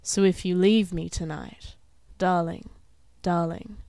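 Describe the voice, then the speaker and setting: A young woman reciting spoken-word poetry in an earnest, measured and dramatic way, with deliberate phrasing. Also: lowish voice, steady tone, warm tone, no echo. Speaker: poet.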